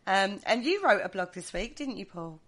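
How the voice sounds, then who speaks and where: A woman's voice: medium pitch, animated, normal speed. Chatty woman co-host with a head cold. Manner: chatty and giggly. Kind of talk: casual radio chat.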